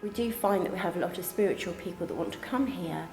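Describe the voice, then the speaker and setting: A woman's voice: fairly low pitch, fast, a little mellow, soft background music. A woman on a college's staff, talking conversationally in a promotional interview, recorded in an echoey space. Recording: echoey space, faint background ambience.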